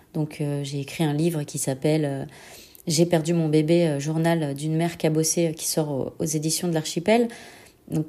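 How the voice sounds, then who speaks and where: A young woman gives a personal testimony in a lowish, very mellow voice, slightly stuffed up by a cold. She speaks reflectively and hesitantly, pausing often as she searches for words. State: congested with a head cold. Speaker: bereaved mother giving a personal testimony. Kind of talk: intimate personal testimony, answering set questions.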